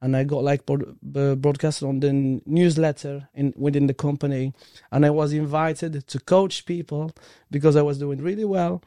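A man's voice: fairly high pitch, level tone, moderate pace, warm tone, no room sound, studio quality. A man with a Moroccan accent and Arabic as his first language, telling his own story casually and earnestly in an interview, peppered with "like" and "you know". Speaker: interview guest, performance coach.

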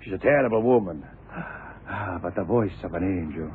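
Excitable, swooping Italian opera gentleman. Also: sits low, moderate pace. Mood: mournful. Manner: theatrical, effusive, broken English. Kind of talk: 1970s radio crime drama acting. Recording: tinny, noisy old radio recording.

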